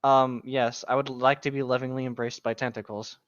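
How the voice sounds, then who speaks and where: A man joking casually with friends in a deadpan way over a dull-sounding voice call. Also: medium pitch, brisk, no echo.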